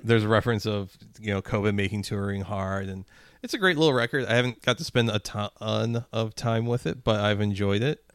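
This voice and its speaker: A man with a fairly low, smooth voice talks casually as a podcast co-host. His speech is slangy and freely profane. The sound is close and dry. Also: fairly quick, no echo. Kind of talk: casual chat about music.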